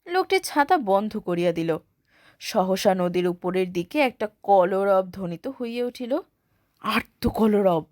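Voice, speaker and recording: Slow, expressive woman storyteller. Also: medium pitch, no room sound, clean studio sound. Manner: formal literary reading, expressive. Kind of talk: scripted narration of a classic short story.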